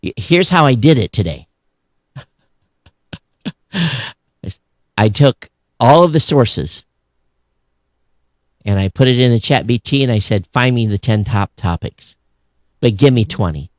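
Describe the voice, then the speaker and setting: A man's voice: mid-range voice, expressive, measured pace, pauses often, no echo. A man with a mid-range voice talks as a podcast co-host in a casual, conversational style. He leans on points emphatically, repeating phrases for stress. The sound is dry, with very little room. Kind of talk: casual talk-show commentary on tech news.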